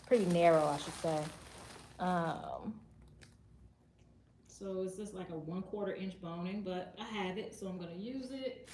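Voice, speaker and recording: A woman hosting a casual sewing tutorial from her sewing office, chatting and thinking out loud as she works and moving nearer to and farther from the mic. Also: medium pitch. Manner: chatty and relaxed, thinking aloud while working; moves around the room, voice nearer and farther from the mic. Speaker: sewing channel host. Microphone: added mic, camera across the room. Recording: roomy echo, faint background hiss.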